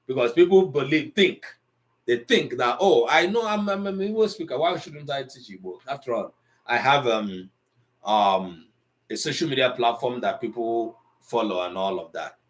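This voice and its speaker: A man in middle age teaching a live online Igbo lesson. His voice sits at an ordinary pitch, and he talks in a lively, conversational way, lecturing his students and moving easily between English and Igbo. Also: moderate pace. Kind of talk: live online language class.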